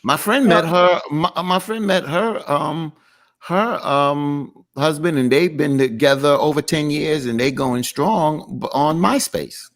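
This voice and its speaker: A woman chatting casually on a group show, animated and laughing often. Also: low voice, a little slow.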